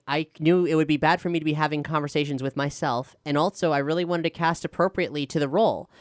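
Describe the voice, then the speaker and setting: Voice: medium pitch, smooth, no echo, clean studio sound. A showrunner and writer speaking candidly and with self-deprecation in a casual panel question-and-answer chat. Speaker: showrunner, writer and player of an audio drama.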